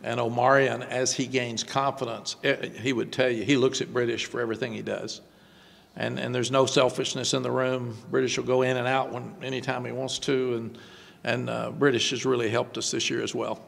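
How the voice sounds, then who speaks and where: An older man, a head football coach, answering postgame press questions at a desk microphone, halting and repeating words before quick emphatic runs. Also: mid-range voice, little pitch movement, gravelly, a bit bright, big-room sound. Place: press room before a sponsor backdrop. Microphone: desk microphone on stand.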